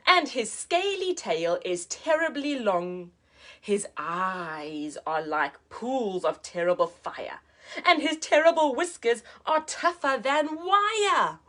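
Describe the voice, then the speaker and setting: A woman voicing a big storybook monster father who gives cautioning warnings in measured, dramatic rhyme. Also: medium pitch, animated, a bit bright. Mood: ominous, recalling.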